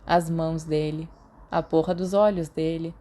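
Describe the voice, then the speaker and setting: A woman narrator with a Brazilian accent reads fiction from a script. Her voice sits a little low for a woman, with a very soft, mellow tone. The delivery is that of an audiobook reading. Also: fairly even intonation, normal speed.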